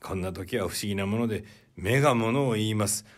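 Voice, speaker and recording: A male narrator plays a humble, troubled man confessing in a period story. His voice is on the low side, and he recounts things in polite, measured phrases. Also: close to an everyday voice. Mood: troubled, subdued.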